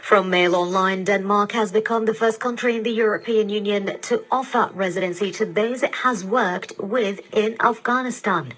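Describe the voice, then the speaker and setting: A woman presenting a scripted news bulletin on the radio in a formal, measured manner, heard through shortwave digital radio reception. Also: mid-range voice, fairly bright tone, low-quality recording. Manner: formal, measured headline delivery.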